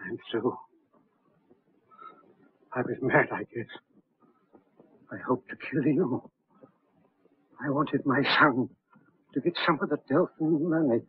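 An actor playing a desperate older man who came to kill, in 1930s film acting, slow and husky. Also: medium pitch. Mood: broken. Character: desperate older man turned would-be killer. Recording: tinny old film soundtrack.

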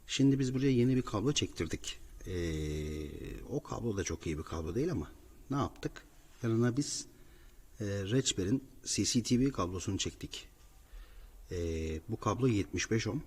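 A man's voice: lowish voice, animated, moderate pace, a little mellow. A man working as a repair technician, explaining a job informally to viewers on site in an apartment building hallway. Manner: explanatory, addressing viewers directly. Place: indoors, apartment building hallway by cable shafts. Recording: reverberant stairwell, clear background noise.

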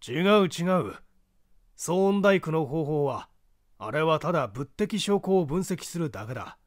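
A man's voice: a little high, very animated, moderate pace, no room sound, clean studio sound. A man playing an emphatic lecturing professor, widely swooping delivery. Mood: emphatic, assertive. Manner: didactic, declamatory, emphatic. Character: opinionated male professor of forensic medicine, lecturing, emphatic. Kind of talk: dramatic audiobook reading of mystery fiction.

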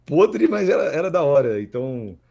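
A man's voice: on the high side, sing-song, normal speed, creaky, mellow. A Brazilian man in his thirties chatting casually as a podcast guest, repeating words as he thinks aloud. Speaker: voice actor guest. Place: small plain-walled room indoors.

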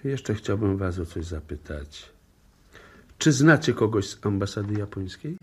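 An actor playing a calm, courteous, probing police inspector in a 1960s-style Polish radio drama, with measured questioning. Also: male voice, on the low side, slightly rough, full character voice. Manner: polite, measured, hesitant questioning.

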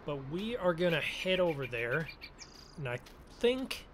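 Higher-pitched man narrating over engine noise. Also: very animated, a little slow, fairly bright tone. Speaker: gaming video host. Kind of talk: casual farming game roleplay commentary. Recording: clear vehicle engine noise behind. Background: game vehicle engine sounds.

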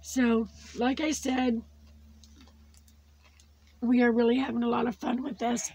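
A woman hosting a casual craft video, her voice worn from losing it after surgery, slowly chatting and cooing over small crafts. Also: a little high, little pitch movement, warm tone, husky. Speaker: crafting channel host. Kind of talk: casual unboxing chat. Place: indoors at a tabletop craft setup.

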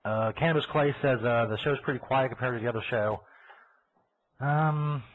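A man's voice: medium pitch, normal speed. A man with a Kentucky accent hosting a live internet radio show, rambling in casual, profane chat and laughing as he goes. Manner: profane, laughing, talks over himself. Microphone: computer mic over a call line. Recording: tinny phone-like internet broadcast.

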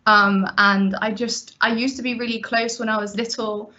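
A woman's voice: on the high side, level tone, moderate pace, bright, forceful tone. Young woman student, chatty, hesitant, laughs a lot, muffled call audio. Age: young adult. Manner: rambling, hesitant, laughs often. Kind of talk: casual chat on a video call. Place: bedroom at home. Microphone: laptop webcam on a video call. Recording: dull lo-fi video call audio.

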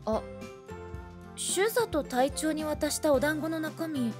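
女性声優が、優しく押しの強い面倒見のよい若い女性をゲームのラジオドラマで演じている。. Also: female voice, high-pitched, lively intonation, slow pace, over music. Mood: pondering. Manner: gently insistent, feminine phrasing. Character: caring, bossy young woman, big-sister type. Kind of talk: game radio drama acting.

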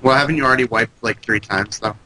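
A man's voice: mid-range voice, quick pace, a little hiss or hum, poor audio. A congested man giving joking, profane commentary while playing a video game with a friend. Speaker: let's play co-host. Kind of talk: casual gaming commentary. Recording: loud keyboard typing behind. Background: computer keyboard clicks.

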